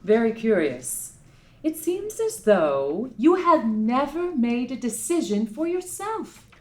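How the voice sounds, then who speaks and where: A man reading the part of a sophisticated, wise female teacher in her forties, with measured, teacherly pronouncements in a raised voice. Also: very high-pitched, sing-song, pitched above the performer's own voice, exaggerated voice. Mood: knowing.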